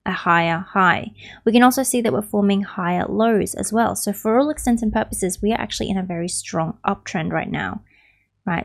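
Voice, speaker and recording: Young woman analyst, hoarse and gravelly, steady explanatory chart read, close studio mic. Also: mid-range voice. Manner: explanatory, steady, repeats "right?" as a check-in. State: losing her voice, raspy. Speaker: crypto trading analyst. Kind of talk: technical chart analysis walkthrough. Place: small room at home. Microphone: studio mic on stand close up.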